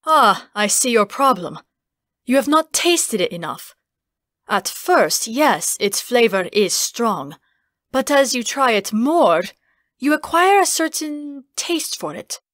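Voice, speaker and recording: A woman's voice: fairly high pitch, big pitch swings, slow, fairly bright tone, dry recording, clean studio sound, exaggerated voice. Fantasy nobleman female narrator: formal, patient connoisseur, audiobook delivery, no room sound. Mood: patient, amused. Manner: formal, instructive. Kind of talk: audiobook character acting.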